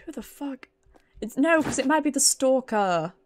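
A British woman livestreaming a horror game, thinking out loud in casual, sweary commentary and reacting as she plays. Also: high-pitched, lively intonation, normal speed, a little hiss or hum.

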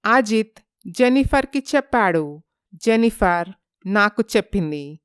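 A woman voicing a calm, self-assured psychiatrist in a moral story, explaining things in a measured, patronizing way. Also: mid-range voice, clean tone, dry recording, studio quality, lightly acted. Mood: firm, explaining. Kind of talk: dramatized moral story dialogue.

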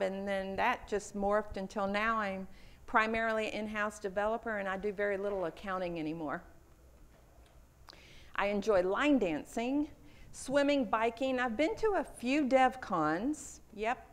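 A woman's voice: mid-range voice, fairly even intonation, measured pace. A woman in her fifties from Houston with a Texan accent, giving a lightning talk at a conference and telling personal stories in an earnest way. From Houston, Texas. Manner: earnest, personal storytelling with a few fillers. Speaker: conference speaker. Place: conference room.

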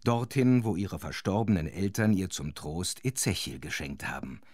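A man playing an involved, expressive storyteller who narrates a children's audio drama. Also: deep voice, moderate pace, no room sound, clean studio sound. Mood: narrating.

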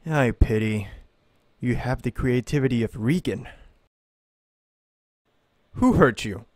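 A man's voice: mid-range voice, slow pace, darkish tone, no echo, studio quality. A man playing an eccentric, theatrical, condescending oddball philosopher in an audio drama. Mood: disdainful.

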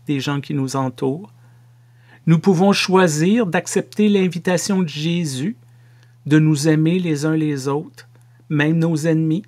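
A middle-aged man with a Québécois French accent giving a sermon to camera from a home office, slow and measured with playful asides. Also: fairly high pitch, a little mellow. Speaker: pastor.

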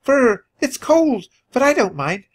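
A man voicing a sweet, eager nine-year-old boy mouse in a storybook, squeaky and earnest. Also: big pitch swings, takes it slowly, husky, heavily acted. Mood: happy, cuddly. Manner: earnest, cheerful.

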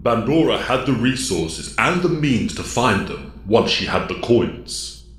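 A man plays a grave, knowing mentor in an audio drama. The speech is slow, deliberate and formal, in a lowish voice with lively intonation. A roomy echo surrounds it.

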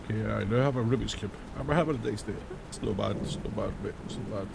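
A man in his thirties, hoarse and raspy after days of singing, hosting a casual gaming video where he reads questions aloud and answers them with jokes. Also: on the low side, fast, heavy background noise. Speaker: gaming channel host. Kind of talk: casual let's-play commentary. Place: home room, indoors. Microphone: headset.

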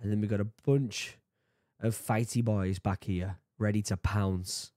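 A man's voice: fairly low pitch, steady tone, unhurried, mellow, no echo. Sick man reacting on a studio mic. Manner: chatty, jokey, laughs at himself. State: sore throat, sick. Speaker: reaction video host. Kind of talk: casual reaction commentary. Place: home room with bookshelves. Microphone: studio mic on boom arm, headphones.

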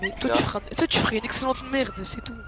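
A man's voice: very high-pitched, wildly swooping pitch, fast, noisy background. An aggressive, taunting man arguing in an online roleplay game and repeating his phrases.

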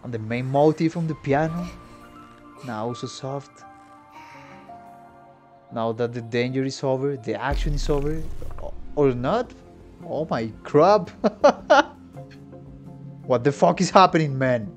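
Slow-paced man reacting over game music. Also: medium pitch, animated. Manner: exclamatory, slangy. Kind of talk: casual reaction commentary. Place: home room with instruments. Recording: game music playing behind the voice.

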